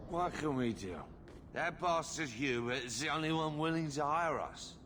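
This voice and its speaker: A man's voice: fairly high pitch, animated, fairly bright tone, slight background noise. An actor playing a blunt, worried male miner foreman who swears freely, in a video game cutscene. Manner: blunt, swears, drawling and slow.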